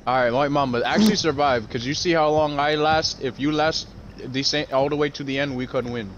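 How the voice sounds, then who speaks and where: A man's voice: on the high side, fairly quick, lo-fi sound, very noisy. A man gaming online with friends, chatting casually, teasing and cursing freely. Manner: joking, teasing, swears freely. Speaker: gamer in group voice chat. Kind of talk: casual chat.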